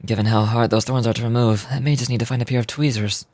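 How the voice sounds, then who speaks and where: A woman's voice: very deep voice, fairly even intonation, fast talker, darkish tone, no room sound, pitched below the performer's own voice. A woman voicing an earnest, deferential young man in game dialogue, polite and apologetic.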